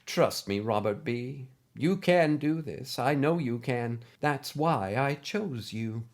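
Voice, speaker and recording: A man voicing a gently insistent older female teacher, an android spirit in an audio story, speaking earnestly and in a measured way. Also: mid-range voice, animated. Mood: pleading. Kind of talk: audio story character acting.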